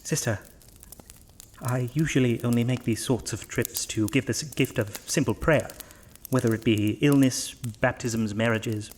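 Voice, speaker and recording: Male actor as devout priest for horror audio play. Mid-pitched, courteous, formal, a touch pompous, quietly unsure of himself; noisy recording. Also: unhurried, close to an everyday voice. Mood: uneasy, doubtful. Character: polite, devout priest, hesitant and quietly self-doubting. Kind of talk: horror audio drama acting.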